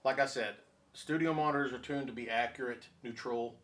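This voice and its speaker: A man's voice: mid-range voice, steady tone, a little fast, fairly bright tone. A man explaining technical matters in conversation as a co-host, emphatic and repeating his key points. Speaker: audio reviewer and speaker designer, co-host.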